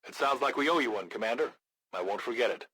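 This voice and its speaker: An actor playing a hardened, wry, commanding resistance leader in a video game, with a gruff, raspy voice and a dry, blunt delivery. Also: male voice, medium pitch, animated, fast talker, sharp tone, dry recording, rough recording. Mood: stern, guarded. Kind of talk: scripted video game voice lines.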